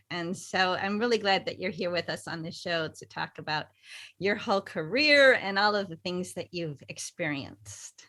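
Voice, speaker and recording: A woman's voice: medium pitch, bright, forceful tone. A woman co-hosting a podcast, asking her guest questions in a friendly way. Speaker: podcast co-host. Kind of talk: casual interview hosting.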